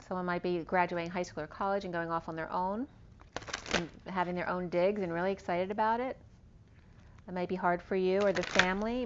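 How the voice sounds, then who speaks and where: A woman giving a casual tarot reading, rambling through cards and star signs, her voice going hoarse. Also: lowish voice, a little hiss or hum. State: losing her voice. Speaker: tarot reader. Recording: dull lo-fi recording.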